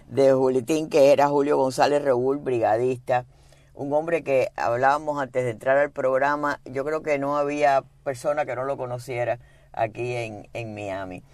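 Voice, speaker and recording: A man's voice: medium pitch, moderate pace, a little mellow, dry recording. A middle-aged man born in Cuba, co-hosting a talk show and telling reflective anecdotes. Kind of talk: casual studio talk.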